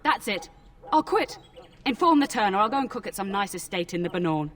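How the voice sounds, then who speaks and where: A woman's voice: mid-range voice, sing-song, fairly quick, natural voice. A woman voicing a cranky older castle cook who scolds and blusters, in fantasy video game acting. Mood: exasperated.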